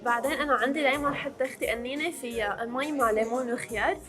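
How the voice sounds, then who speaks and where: A woman's voice: high voice, racing, music in the background. A woman in her early twenties with a Levantine Arabic accent, chatting casually to camera as a vlog host and slipping in English words. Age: early 20s.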